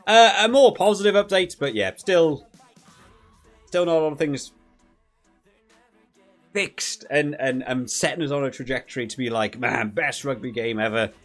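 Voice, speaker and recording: A man's voice: medium pitch, normal speed, long pauses. Man giving casual game commentary over music. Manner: conversational, thinking aloud, hedging opinions. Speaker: gaming video creator reviewing a game update. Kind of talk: casual unscripted review commentary.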